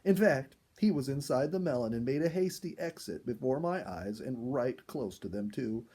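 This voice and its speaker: A man reading a children's story aloud as an expressive storyteller in scripted narration. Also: medium pitch, moderate pace, breathy edge.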